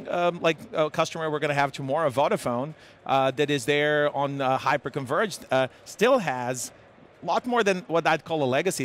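A man in his forties from Serbia with a Serbian accent, speaking haltingly with many fillers as a guest in a live conference interview. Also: fairly high pitch, a bit bright, slight background noise. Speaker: tech executive guest. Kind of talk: live trade-show interview. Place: busy conference hall. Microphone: headset mic. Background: crowd chatter.

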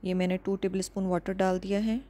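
Calm woman narrating a home recipe. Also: medium pitch. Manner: calm, instructive, measured. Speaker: cooking channel host. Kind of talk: step-by-step recipe voice-over.

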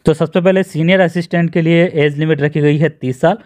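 An Indian man presents an explanatory tutorial in a somewhat high-pitched voice. He guides the listener one step at a time and restates key points for emphasis. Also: fairly even intonation, brisk, darkish tone. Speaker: presenter of job notification videos. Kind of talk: explanatory screen-share tutorial narration.

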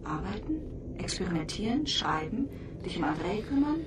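A woman playing a composed psychologist at ground control in a radio drama, speaking in a measured, probing way. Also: mid-range voice, big pitch swings, lightly acted. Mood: analytical. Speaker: actress in a radio play. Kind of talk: intimate radio drama dialogue. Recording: distant, echoey, loud background noise.

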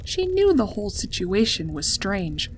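A woman's voice: medium pitch, very animated, a little slow, slight background noise. A woman reading a scripted story as its narrator. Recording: music behind the voice. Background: background music.

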